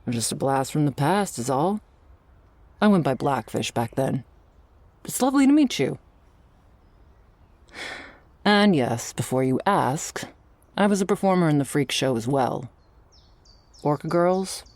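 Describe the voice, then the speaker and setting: A woman acting an earnest, world-weary orca girl in an audio roleplay, with a gentle, measured delivery. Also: deep voice, very animated, no room sound, heavily acted. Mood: warm, nostalgic.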